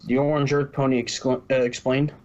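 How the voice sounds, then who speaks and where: A man's voice: mid-range voice, little pitch movement, fairly quick, darkish tone. A man narrating fan fiction informally, stumbling over words now and then, on a muffled home recording.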